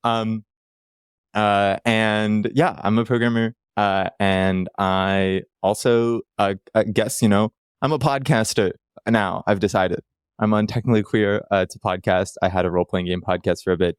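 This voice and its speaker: A man from Canada, a guest on a casual podcast, rambling with quick self-deprecating jokes. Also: fairly low pitch, flat delivery, smooth, dry recording, clean studio sound.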